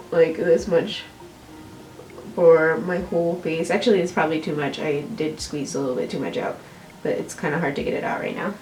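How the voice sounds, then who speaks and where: A woman's voice: lowish voice, darkish tone. Nasal young American woman, roomy recording. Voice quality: nasal, congested. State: congested from allergies. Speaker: beauty vlogger. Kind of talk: casual makeup tutorial talk-through. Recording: roomy echo, distant mic, faint music. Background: music.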